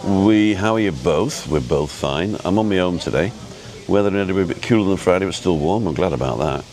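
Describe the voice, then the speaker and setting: A man with an easygoing, chatty and halting way of talking, casually rambling as he hosts a live vlog. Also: low-pitched, a little mellow. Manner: easygoing, chatty, halting as he reads and answers viewer comments. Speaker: live-streaming vlogger and host. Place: outdoors at a restaurant overlooking the road and ocean.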